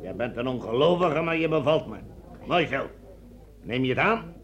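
An actor playing a hearty, commanding army captain in a radio drama, brisk in manner. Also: male voice, mid-range voice, animated, lo-fi sound, lightly acted. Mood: hearty, bargaining. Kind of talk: Dutch radio drama acting.

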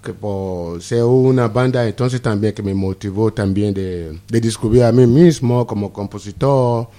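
Nigerian-born man, mellow and halting. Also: fairly low pitch. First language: Yoruba. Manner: halting, repeats words and restarts. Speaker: guest musician. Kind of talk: casual radio chat.

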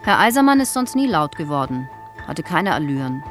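Music plays clearly under a theatre dresser in her late fifties. Her voice sits fairly low. She recounts in a plain, reflective, slightly halting way, like radio drama testimony. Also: sing-song. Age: 50s. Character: reserved theatre dresser aged fifty-nine, plain-spoken, regretful. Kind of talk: radio play acting, interview testimony.

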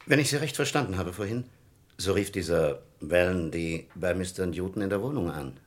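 A man's voice: low voice, lively intonation, moderate pace. A man playing a dry, insistent police captain in a German crime radio play, questioning people formally and pointedly. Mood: probing.

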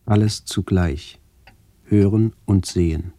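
Old brooding radio play narrator, real deep dark voice, slow and measured. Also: male voice, fairly even intonation, exaggerated voice. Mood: calm, brooding. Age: older. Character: older male narrator, slow, quiet, brooding. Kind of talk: 1970s literary radio drama narration.

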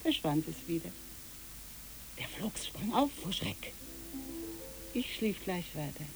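A woman's voice: lowish voice, big pitch swings, takes it slowly, soft background music, heavily acted, poor audio. A woman playing a kindly, dreamy old boat keeper in a German radio play, musing as she tells things.

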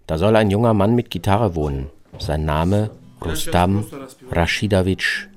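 Very deep-voiced man narrating radio feature. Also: unhurried. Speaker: narrator, reporter. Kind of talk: scripted radio travel documentary narration. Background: plucked guitar music.

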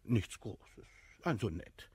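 An actor playing a vain courtier and amateur poet in a classical verse comedy for radio, effusive, flattering and affectedly modest. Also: male voice, lowish voice, takes it slowly, breathy edge, no room sound, heavily acted. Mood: coy, eager.

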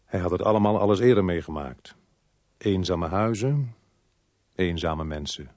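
An actor playing a brisk, world-weary police inspector who narrates his case in a radio crime drama. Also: deep voice, darkish tone, no echo, lightly acted. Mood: sober narrating.

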